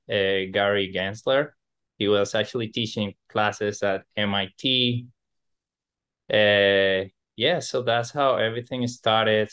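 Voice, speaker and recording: A man's voice: on the low side, fairly bright tone, clean tone. A man from Venezuela, a podcast guest who rambles with lots of fillers and restarts. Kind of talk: casual podcast interview.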